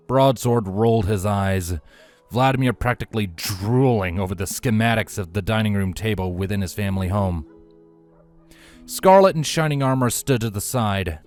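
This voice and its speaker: Male storyteller, deep register, reading fiction over a music bed. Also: a little mellow. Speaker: audiobook narrator of fan fiction. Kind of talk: scripted story narration with character voices.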